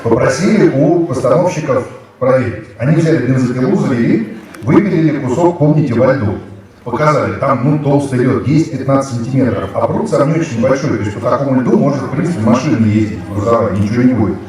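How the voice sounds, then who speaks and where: A man's voice: medium pitch, quick pace, darkish tone. A man in his fifties telling rambling anecdotes with many fillers, speaking casually to a school audience. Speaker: guest speaker, actor. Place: large hall with students. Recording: echoey hall, mic at a distance.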